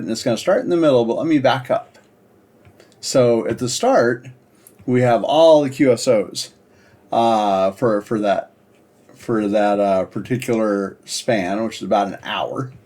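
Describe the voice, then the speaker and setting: Man giving a halting, informal tech demo. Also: mid-range voice, a little mellow. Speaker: presenter demonstrating a software project. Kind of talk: casual screen-share tech demo.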